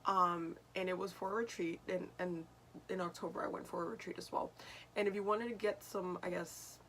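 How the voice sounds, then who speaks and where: A young woman, raspy from just waking up, tells a story to her channel in a chatty, meandering way and often corrects herself mid-sentence. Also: on the low side, steady tone, a little fast, fairly bright tone, slight background noise, low-quality recording. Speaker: vlogger and spiritual medium. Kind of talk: casual storytelling to camera.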